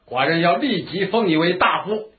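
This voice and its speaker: A man's voice: high-pitched, lively intonation, normal speed. An actor playing an eager, inquisitive male ruler in a radio drama who keeps asking and exclaiming. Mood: curious. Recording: tinny phone-like lo-fi audio.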